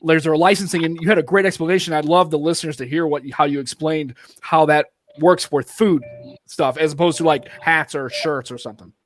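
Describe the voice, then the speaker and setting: A man's voice: fairly high pitch, fairly even intonation, a little fast. A man hosting a podcast, chatting loosely with lots of restarts and informal asides. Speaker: podcast host. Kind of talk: casual podcast chat.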